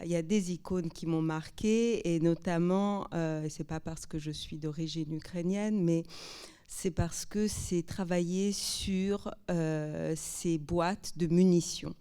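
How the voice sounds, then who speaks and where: A woman speaking as a panel guest, reflective and earnest, picking out her words deliberately. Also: fairly low pitch, warm tone, clean tone.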